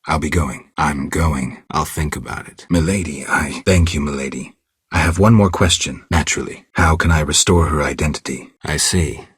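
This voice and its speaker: An actor playing a stoic, world-weary male monster hunter in a video game, with a gravelly voice and terse, deadpan delivery. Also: extremely low pitch, moderate pace, no room sound, studio quality, full character voice. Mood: stoic, reserved. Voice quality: gravelly, husky. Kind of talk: video game character dialogue.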